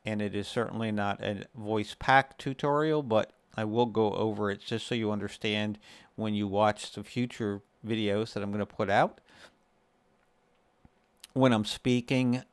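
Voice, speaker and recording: Smooth low male voice, casual gaming tutorial host, measured explaining, short pauses, dry sound. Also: no echo. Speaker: host of a gaming guide video series. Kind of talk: casual tutorial narration for a screen recording.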